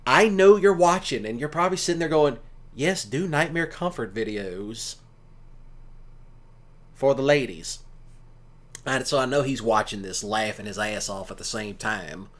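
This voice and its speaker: A man's voice: medium pitch, lively intonation, a little slow, long pauses. A man giving casual, rambling commentary in a folksy, colloquial way, like a streamer talking to listeners. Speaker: streamer and ASMR audio creator.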